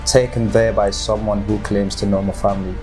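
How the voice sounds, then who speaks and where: A young man from northern Nigeria speaks in a lowish voice with a Nigerian accent. He tells his story earnestly and haltingly, with plenty of ums, and faint guitar music plays behind him. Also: little pitch movement. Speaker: guest, football player. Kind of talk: personal story in an interview.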